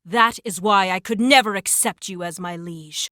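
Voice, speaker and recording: Woman playing a bright, resolute lady knight. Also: mid-range voice, normal speed, no echo, natural voice. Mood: stern. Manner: earnest, solemn. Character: resolute, noble female knight sworn to her prince. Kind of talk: dramatic video game voice acting. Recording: dry, music under the voice.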